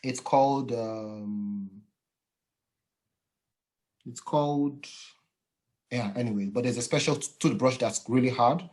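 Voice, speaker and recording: A man's voice: mid-range voice, a little mellow. Man hosting a chat. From Nigeria. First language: Yoruba. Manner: halting, many fillers and restarts. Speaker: podcast host. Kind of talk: casual interview chat.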